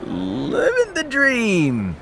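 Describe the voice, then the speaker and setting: An actor playing a cheeky, tipsy, carefree brother in a noir video game, with a playful, mocking, theatrical drawl. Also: male voice, high-pitched, wildly swooping pitch, extremely slow, noisy background, full character voice. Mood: teasing, boisterous. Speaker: video game supporting character. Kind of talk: scripted visual novel voice acting.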